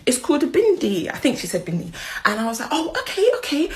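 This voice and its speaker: A woman's voice: high-pitched, sing-song, fast talker. A young woman with a British accent telling a casual story, chatty and slangy, acting out bits of dialogue. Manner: chatty, slangy, self-mocking. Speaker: video creator.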